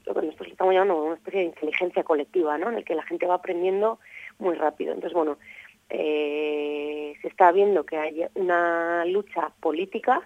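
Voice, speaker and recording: A woman's voice: fairly low pitch, no room sound. A woman with a Castilian Spanish accent answers interview questions as a political activist, speaking discursively and hesitantly, often ending phrases with a questioning tag. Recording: muffled narrow phone-line sound.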